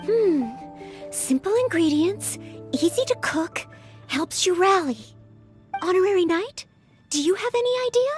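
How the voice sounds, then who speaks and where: A woman's voice: extremely high pitch, expressive, measured pace, long pauses, faint music behind. An actress playing an earnest, polite young maid-knight woman in an anime-style video game, careful and sincere. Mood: thoughtful.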